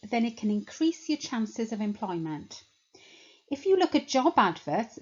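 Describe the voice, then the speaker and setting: Woman, teacher, course information talk, measured and explanatory, slightly high voice, dull lo-fi sound. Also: darkish tone. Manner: measured, explanatory, lists points slowly.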